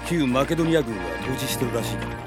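A man playing a rough, wary, seasoned mercenary in an audio drama, blunt and gruff in casual speech. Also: medium pitch, lively intonation, very fast, over loud music, natural voice. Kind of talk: Japanese audio drama acting.

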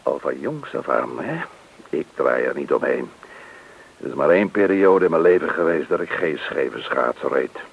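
An actor playing a brash, boastful career crook and war veteran in a Dutch radio play. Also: male voice, very deep voice, sing-song, moderate pace, vocal fry, breathy edge, full character voice. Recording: tinny, narrow-band old radio recording.

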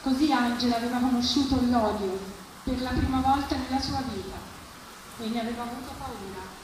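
A woman giving an expressive, theatrical reading of literary prose at a live event. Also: a little high, a little fast. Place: live public reading at a festival, distant mic. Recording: echoey, distant, noisy live event recording.

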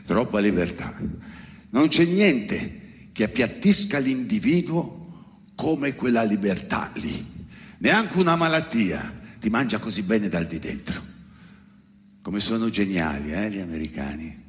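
A man delivering an ironic, emphatic theatrical monologue with dramatic pauses. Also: lowish voice, sing-song, fairly bright tone. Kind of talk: theatrical spoken monologue on stage. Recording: long hall reverb.

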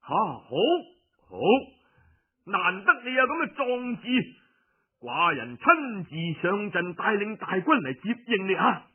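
A man's voice: high-pitched, very animated, slow pace, slightly rough, heavily acted. A man with a Cantonese accent voicing an anxious, deliberating ruler of an ancient state in an audiobook, weighing his words. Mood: resolved. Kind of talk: audiobook storytelling. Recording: muffled phone-like radio recording.

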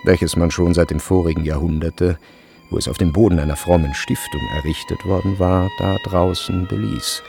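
A man narrating a literary radio drama in a measured, precise storytelling manner. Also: sits low, steady tone, vocal fry. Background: faint music.

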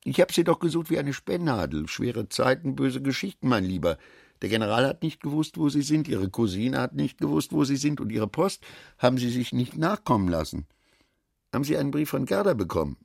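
A man's voice: medium pitch, big pitch swings, a little fast, vocal fry, breathy edge, no room sound, studio quality, exaggerated voice. An actor playing a garrulous, self-satisfied middle-aged businessman in a radio drama, chatty and given to philosophizing. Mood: probing, complaining.

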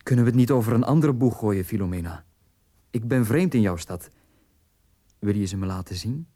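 An actor playing a shy, earnest English aristocrat of very small stature in a radio drama, speaking in a hesitant, courteous, tender way. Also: male voice, lowish voice, animated, brisk, warm tone, no room sound. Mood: awkward, courteous. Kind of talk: Dutch-language radio drama acting. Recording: radio play with music behind.

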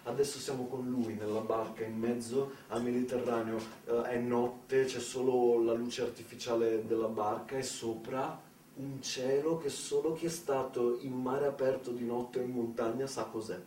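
A man performing a dramatic theatrical storytelling monologue, emphatic, with deliberate pauses and repetitions. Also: medium pitch, steady tone, warm tone. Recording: roomy, distant mic, faint background noise.

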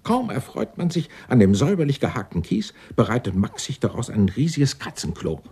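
An eccentric old clerk in his 60s, radio play, pompous and worked up, mellow voice jumping all over. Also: mid-range voice, a little fast, husky. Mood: aggrieved. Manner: pompous, florid, excitable. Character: eccentric, indignant 64-year-old Dutch tax-law clerk, self-righteous. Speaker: murder suspect being questioned. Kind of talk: theatrical radio drama acting.

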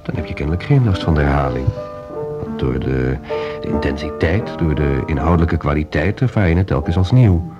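An actor playing a calm, earnest partner who reasons in a measured, reflective way in a naturalistic radio drama. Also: male voice, rumbling low. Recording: dull radio drama recording, music behind.

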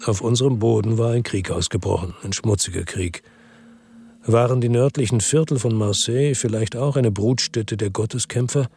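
An actor playing a weary, brooding middle-aged narrator in a radio drama, speaking in a somber, measured, reflective way. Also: male voice, fairly low pitch, darkish tone, slightly rough. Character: weary, brooding middle-aged male first-person narrator, world-worn investigator.

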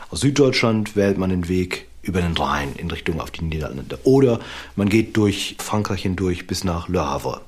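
A man explaining as an expert interviewee, in academic, deliberately phrased speech with a husky voice. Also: low voice.

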